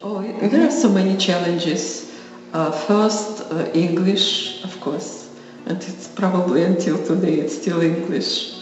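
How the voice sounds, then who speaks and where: Distant slow woman. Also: lowish voice, a little mellow, soft background music. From Saint Petersburg, Russia. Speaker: interviewee. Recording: distant, very echoey hall, muffled.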